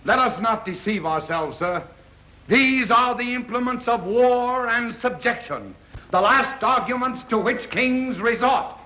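A man's voice: very high voice, measured pace, bright, forceful tone, full character voice. An actor playing a solemn, impassioned colonial statesman in a formal, declamatory 1930s film style. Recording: dull 1930s film soundtrack, roomy.

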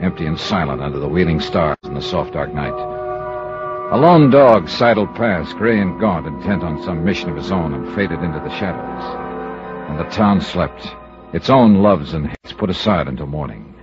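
Tough investigator, lowish voice, lively inflection, terse, hard-boiled, old radio detective drama, muffled recording. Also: male voice, moderate pace, over music. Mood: moody narration. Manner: clipped, terse questioning and atmospheric narration. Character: hard-boiled, probing male investigator narrating his case. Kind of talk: old-time American radio detective acting.

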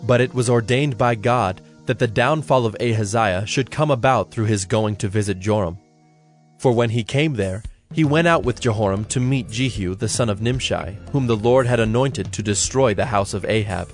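A man narrating scripture for a dramatized audio Bible in a measured, solemn reading style. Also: fairly low pitch, soft background music.

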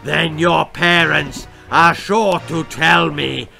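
Storybook villain: undead bog skeleton, male, slow and booming, hissing menace. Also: fairly even intonation, creaky, pushed and bright, faint music behind, exaggerated voice. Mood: vengeful, commanding.

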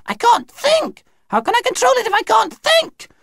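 An elderly great aunt who is secretly an alien machine. The voice sits far up in pitch, squawking and metallic. It pleads frantically, in the manner of a dramatic storybook reading. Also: male voice, wildly swooping pitch, unhurried, no echo, studio quality, full character voice. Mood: hysterical panic.

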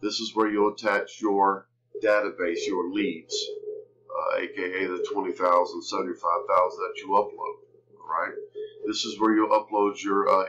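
Low-voiced man pitching online, slightly dull audio. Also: a bit bright, a little hiss or hum. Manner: repeats phrases, tags sentences with "right?". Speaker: online marketer promoting a product. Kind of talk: casual sales pitch over a screen-share tutorial. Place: indoors at a computer.

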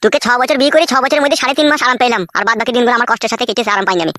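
A man's voice: very high-pitched, racing, pushed and bright, no room sound, clean studio sound. A man voicing a brazen, irritable village husband in a comedy cartoon, bickering crudely in a rural West Bengal accent. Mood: brazen, annoyed. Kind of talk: comedy cartoon dub, quarrelling.

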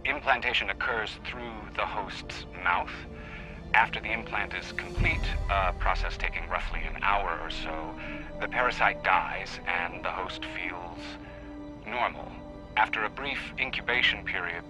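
An actor playing a formal research doctor dictating a game audio log in a halting, restrained, clinical way. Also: male voice, low voice, poor audio. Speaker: scientist recording audio log. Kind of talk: scripted audio log narration.

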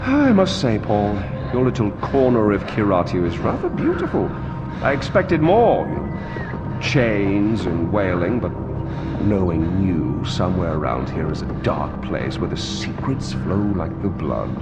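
A man's voice: lowish voice, very animated, measured pace. A man playing an urbane, sinister tyrant in a video game, teasing and theatrical, savoring his words. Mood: amused, sly. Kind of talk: video game cinematic acting. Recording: muffled, with loud music behind.

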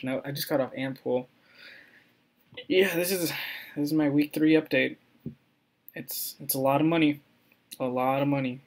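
A young man giving a casual, rambling screen-recorded update, excitable and full of ums and restarts. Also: medium pitch. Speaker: crypto mining vlogger.

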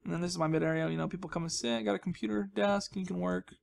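A man's voice: sits high, steady tone, racing, vocal fry. A man hosting a gaming channel with casual, rambling commentary, playful and goofy, often repeating his words and phrases.

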